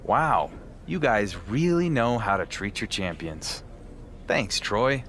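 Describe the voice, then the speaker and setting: A man's voice: fairly low pitch, sing-song, slow pace, fairly bright tone, faint music behind, exaggerated voice. A man playing a confident wrestling champion in a game cutscene, talking in a wry, self-assured way. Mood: sarcastic. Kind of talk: video game cutscene acting.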